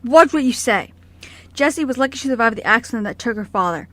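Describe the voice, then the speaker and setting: A woman's voice: fairly high pitch, animated, quick pace, no echo. A woman playing a kindly, reluctant orphanage worker in an amateur radio play, apologetic and hesitant. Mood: worried, reluctant. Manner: soft-hearted, apologetic, hesitant.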